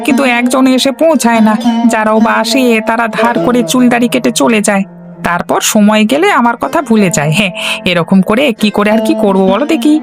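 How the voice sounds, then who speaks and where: A woman's voice: on the high side, brisk, creaky. A woman voicing a friendly, chatty younger male village barber in a cartoon, speaking deferentially. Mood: resigned. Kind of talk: cartoon story voice acting. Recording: music behind the voice.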